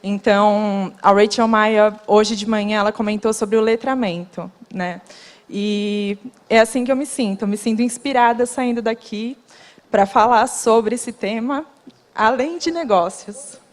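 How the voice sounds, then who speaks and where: A woman's voice: mid-range voice, a little slow. A woman introducing herself on a conference panel in an earnest, grateful way. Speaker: shipping professional panelist. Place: conference hall. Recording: long hall reverb.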